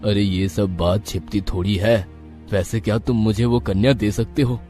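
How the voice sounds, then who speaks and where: A man's voice: lowish voice, steady tone, normal speed, dark tone, faint music behind, close to an everyday voice. A man playing a rich, scheming, ruthless astrologer in a cartoon story, with grave, emphatic delivery. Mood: smooth, purposeful.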